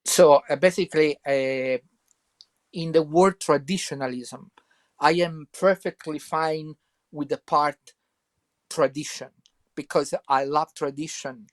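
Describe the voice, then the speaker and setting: A man from Italy with an Italian accent, speaking English as a second language and answering interview questions haltingly, with many repeats and fillers. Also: a little high, steady tone, no room sound. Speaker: guest author.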